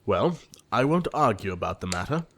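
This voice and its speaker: A man playing an earnest young gentleman suitor in a period comedy audio play, formal and easily exasperated. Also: on the low side, sing-song, normal speed, a little hiss or hum. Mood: irritated. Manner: formal, earnest, fussily indignant.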